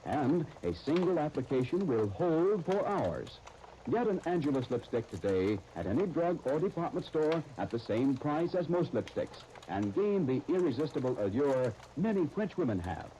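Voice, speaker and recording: A male 1930s radio announcer with a mellow, expressive voice. He reads scripted copy with polished drama and deliberate stresses. The old broadcast disc carries faint crackle. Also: a little high. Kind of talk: 1930s radio scripted narration and sponsor reading.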